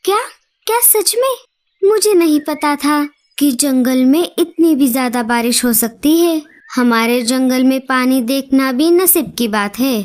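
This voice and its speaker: Woman voicing a high, slow-talking polite female crow. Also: lively intonation, a little mellow. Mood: curious, earnest. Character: female crow newcomer, polite, weary of drought. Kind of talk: Hindi cartoon story dialogue.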